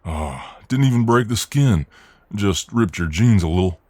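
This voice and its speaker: A man's voice: lowish voice, lively intonation, measured pace, a little mellow, no room sound. A man acting out a friendly, protective, cocky Southern US mailman in an ASMR role-play, with a folksy, soothing drawl. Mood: reassuring, concerned.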